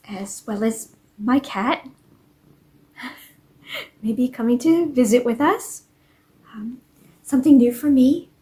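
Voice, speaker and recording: Soft-spoken woman talking through her week, calm and unhurried, homey vlog voice. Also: on the high side. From English-speaking country. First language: English. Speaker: vlogger. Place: home in Norway.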